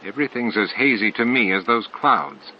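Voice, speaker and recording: A man voicing a steady, wry, encouraging space commander in an audiobook, calm and firm. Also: lowish voice, normal speed, lightly acted. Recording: dull lo-fi audio, clear noise.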